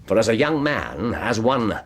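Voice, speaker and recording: An actor playing an incisive Victorian detective in a radio drama, with an English accent, recounting a case in precise, theatrical phrasing. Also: male voice, on the low side, very animated, unhurried, a bit bright, breathy edge, full character voice. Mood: dramatic, deliberate. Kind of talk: period radio drama acting, storytelling.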